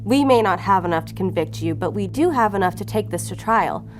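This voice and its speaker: Shrewd female agent played by a woman, mid-range voice, controlled and relentless, audio drama with clear music bed. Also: mid-range voice, lively intonation, brisk, close to an everyday voice. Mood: hard, triumphant. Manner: controlled, needling, firm. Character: cool, shrewd female investigator, bluffing and relentless. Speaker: law enforcement agent. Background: music under the voice.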